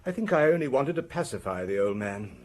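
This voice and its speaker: Weary husband, swooping intonation. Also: male voice, mid-range voice, big pitch swings, brisk. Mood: weary, candid. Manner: hesitant, explanatory, breaking off mid-sentence. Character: weary, defensive husband under police questioning over his wife's murder. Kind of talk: radio drama acting.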